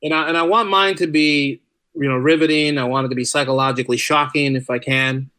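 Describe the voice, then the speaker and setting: A man speaking casually as a podcast guest, telling stories in an animated, rambling way. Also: on the high side, a little fast, clean tone, no room sound. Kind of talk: casual interview chat.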